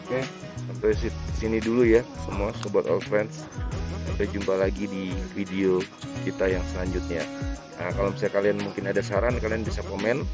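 A young man with a low, deep voice co-hosts a travel vlog in casual chat. He speaks outdoors, with music clearly heard behind him. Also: flat delivery, fairly quick. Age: young adult. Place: outdoor terrace of a hillside cafe.

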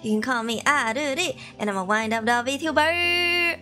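A woman's voice: sits high, normal speed, sharp tone, soft background music. Bubbly, giggly woman streamer. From Malaysia. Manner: bubbly, giggly, chatty with viewers. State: sniffly, tired voice. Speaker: VTuber streamer. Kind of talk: casual livestream chat. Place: home room.

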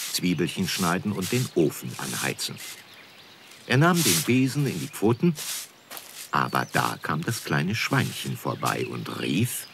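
A man with a gravelly voice narrating a scripted children's story. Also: sits low, slight background noise.

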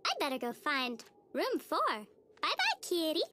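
Squeaky cartoon schoolgirl, extremely high pitch, swooping intonation, gushing, sweet, naive. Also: huge pitch swings, a little slow, noisy background, full character voice. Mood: bubbly, friendly. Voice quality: childlike, squeaky. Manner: gushing, singsong, oblivious. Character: relentlessly cheerful, naive, sweet little schoolgirl. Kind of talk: animated TV cartoon acting. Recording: music playing behind the voice.

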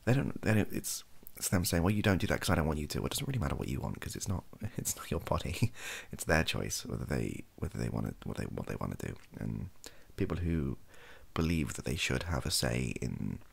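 Young british guy chatting softly, super low crackly voice, rambles and trails off. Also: big pitch swings, fairly quick, hardly any pauses. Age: young adult. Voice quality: gravelly, husky. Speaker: ASMR YouTuber. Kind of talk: softly spoken improvised opinion monologue. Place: small home room, indoors.

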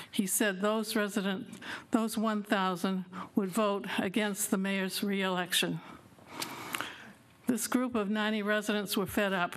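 An older woman at a council podium mic, reading a prepared public comment in a steady, measured way. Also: medium pitch, low-quality recording. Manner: reads from paper, steady and measured. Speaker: resident speaking at public comment. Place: city council chamber at a podium. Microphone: podium gooseneck mic.

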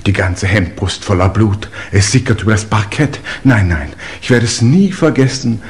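An actor playing a dignified, gossipy English manservant in a 1950s German radio play, formal and obsequious. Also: male voice, fairly low pitch, normal speed, close to an everyday voice. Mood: pompous, confiding. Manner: formal, pompous, deferential.

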